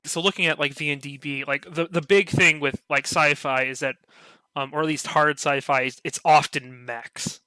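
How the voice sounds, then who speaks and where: A man's voice: fairly high pitch, steady tone, moderate pace, sharp tone, clear, resonant tone, no room sound, low-quality recording. A man chatting casually on a podcast, loose and full of "like". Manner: loose, filler-heavy, says "like" a lot.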